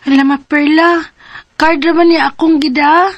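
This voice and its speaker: A woman's voice: sits high, steady tone, normal speed, no room sound, lightly acted. An actress playing an earnest fifteen-year-old girl who pleads, in a radio drama. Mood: pleading. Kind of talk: radio drama acting and narration. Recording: radio drama with music behind.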